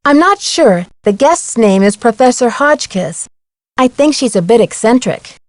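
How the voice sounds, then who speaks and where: A young female sleuth in a video game, with a high voice and a lively, swooping delivery. She is inquisitive and earnest, with a gently wry edge, in a dry studio sound. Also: measured pace, dry recording. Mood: earnest, explaining. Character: curious young female amateur detective, sensible and earnest. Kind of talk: scripted video game dialogue.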